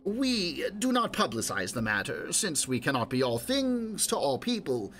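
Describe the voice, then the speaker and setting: Glib audiobook rogue, smooth and evasive, voiced by a man, lively rising tone. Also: a little high, unhurried. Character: smooth-talking roguish male quarry worker. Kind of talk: audiobook character voice.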